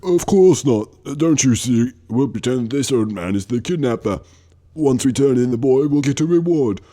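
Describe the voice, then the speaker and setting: A man's voice: medium pitch, animated, moderate pace, mellow, close to an everyday voice. A man playing a scheming, condescending kidnapper, the smarter of two henchmen, in an amateur radio drama. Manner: condescending, sardonic.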